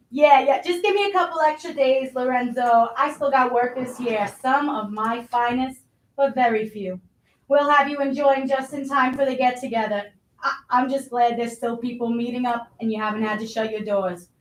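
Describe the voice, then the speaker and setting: A woman's voice: sits high, moderate pace, close to an everyday voice. A woman playing a blustery, money-minded restaurant boss in a staged reading of a play. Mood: chummy, complaining. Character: pushy, money-minded restaurant boss, self-pitying and scheming. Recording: roomy, distant microphone.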